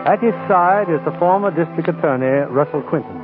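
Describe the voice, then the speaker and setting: A male radio announcer with a slightly high voice speaks in 1940s style. The sound is narrow and tinny, with clear music underneath. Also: fairly quick.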